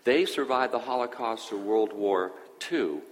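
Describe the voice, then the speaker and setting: Man, from Greece, low voice, conversational testimony, hesitant fillers, reverberant hall. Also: measured pace. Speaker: church guest sharing testimony. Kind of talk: personal testimony.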